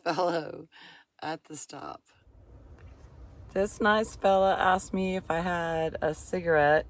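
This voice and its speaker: A woman in her fifties, hoarse from a cold, chatting casually in a rambling, folksy vlog from her van. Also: lowish voice. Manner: folksy, rambling, laughs often, says y'all. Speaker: van-dwelling vlogger.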